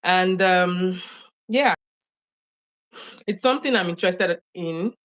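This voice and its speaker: A woman's voice: fairly low pitch, slow pace, a bit bright. A young woman, chatting as an interview guest over a video call, laughing often and using many fillers. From Nigeria. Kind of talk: casual interview storytelling.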